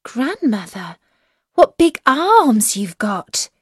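A woman's voice: on the high side, very animated, very slow, slightly rough, dry recording, clean studio sound, pitched above the performer's own voice, heavily acted. A woman voicing an innocent, trusting little girl in a fairy tale, earnest and wide-eyed with a sing-song delivery. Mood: amazed.

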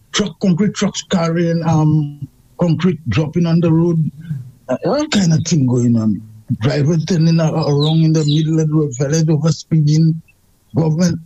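A man's voice: a little high, dark tone, slightly rough, dry recording, lo-fi sound. A man with a Saint Lucian accent calling in to a radio show, talking emphatically and repeating himself as he complains. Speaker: radio caller. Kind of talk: call-in radio chat.

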